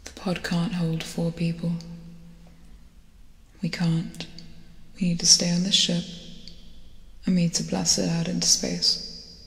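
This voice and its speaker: Casting: young woman as serious female space officer, urgent but hushed ASMR delivery, flat intonation, echoey reverberant sound. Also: lowish voice, unhurried, creaky, long pauses. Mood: grim, determined. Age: young adult. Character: tough, urgent female spaceship officer tending a wounded crewmate. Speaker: ASMR roleplayer. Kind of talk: ASMR film roleplay, soft-spoken to whispering. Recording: echoey reverberant hall, music behind.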